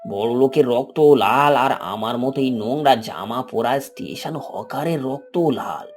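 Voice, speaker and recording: A man voicing a kindly, humble old street hawker in a cartoon, speaking in a fatherly, gently preaching way. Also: medium pitch, lively intonation, a little slow. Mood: gentle, earnest. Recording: background music under the voice.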